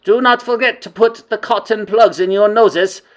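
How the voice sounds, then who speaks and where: A woman voicing a tyrannical female witch leader who barks orders in a shrill, screeching voice. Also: moderate pace, lightly acted. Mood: commanding. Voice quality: screeching, commanding.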